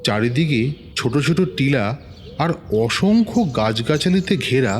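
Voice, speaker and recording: A man's voice: mid-range voice, sing-song, slight background noise. A man playing a self-assured middle-aged village tantric, telling a horror tale dramatically in a West Bengal accent. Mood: grave, reminiscing. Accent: Bengali from West Bengal, India. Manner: boastful, dramatic, unhurried storytelling. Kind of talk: dramatized horror story narration.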